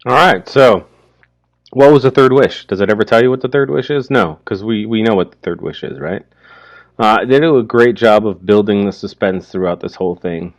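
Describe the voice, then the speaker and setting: A man's voice: lowish voice, normal speed, no echo. A man commenting informally on a short story, thinking aloud and asking rhetorical questions. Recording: dry, slightly dull lo-fi recording.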